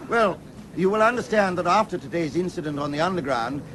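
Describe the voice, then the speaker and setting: A man's voice: expressive, fairly quick, fairly bright tone, poor audio. A man playing a pompous, formal government official with a British accent in an audio drama. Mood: officious. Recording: faint rumbling background noise.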